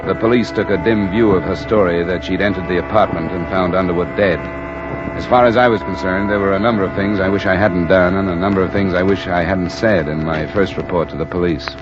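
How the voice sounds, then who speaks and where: A tough, weary insurance investigator, played by a man in an old radio drama, speaking very low and curt. He narrates his case in a flat, dry way. The sound is dull and lo-fi, like an old broadcast. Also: close to an everyday voice. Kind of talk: 1950s radio drama acting.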